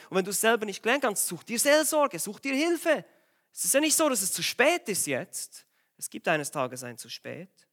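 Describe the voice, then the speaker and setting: Preacher guy with a cold, slightly strained voice, high and swooping, pushy questions at the crowd. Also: pushed and bright. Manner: urgent, exhorting, asks the congregation direct questions. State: has a cold, voice a bit strained. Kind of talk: sermon.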